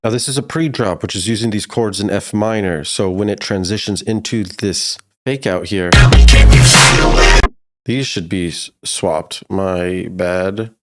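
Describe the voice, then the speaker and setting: A man's voice: low voice, unhurried. A man giving a casual, slangy music production tutorial in a laid-back but enthusiastic way.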